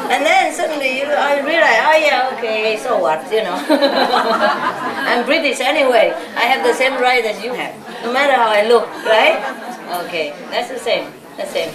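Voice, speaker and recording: A woman's voice: sits high, moderate pace, a crowd in the background. Woman, spiritual teacher, informal chatty talk, reverberant lecture hall, audience laughter. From Vietnam. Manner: chatty, laughing, tag questions like "no?".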